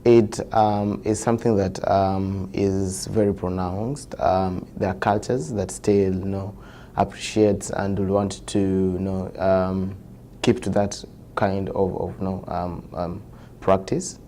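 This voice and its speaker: A man interviewed on human rights, speaking hesitantly with many fillers and pauses. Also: deep voice, little pitch movement, warm tone, a little hiss or hum. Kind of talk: documentary interview.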